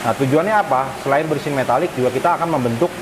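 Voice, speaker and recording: A man's voice: medium pitch, very fast. A man, explaining things informally to viewers as a fish-keeping vlogger. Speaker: arowana fish keeper and vlogger. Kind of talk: casual explanatory vlog talk. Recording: clear hissy background noise. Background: steady hiss.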